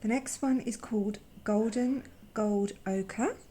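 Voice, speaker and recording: A young woman hosts a relaxed art vlog in a croaky, sore-throated voice. She speaks very slowly and softly, with a mellow, darker tone, and often sinks into a whisper. Her talk is gentle and unhurried, dotted with small hesitations. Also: fairly high pitch. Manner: soft-spoken, gentle, often drops to a whisper; hesitant with frequent fillers. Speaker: watercolour artist and channel host. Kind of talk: casual art vlog, swatching paints.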